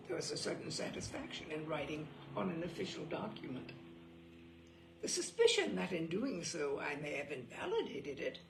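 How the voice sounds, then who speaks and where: Gravelly, wryly expressive woman reader. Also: fairly low pitch, echoey room, a little hiss or hum. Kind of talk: reading humorous essays aloud.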